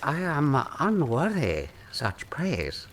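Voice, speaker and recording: Courteous, sadistic master torturer, slow and theatrical. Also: male voice, a little high, huge pitch swings, a little hiss or hum, full character voice. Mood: proud, formal. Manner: formal, ceremonious, deliberate. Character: courteous, proud, sadistic old-world Chinese master torturer. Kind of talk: radio drama acting.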